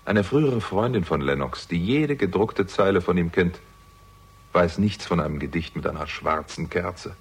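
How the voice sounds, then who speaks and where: Husky, animated, shrewd young sleuth. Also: male voice, deep voice, normal speed, heavily acted. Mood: probing, confident. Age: young adult. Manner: pointed, probing, wry. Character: shrewd, persistent young amateur sleuth confronting a suspect. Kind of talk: 1960s German radio crime drama acting.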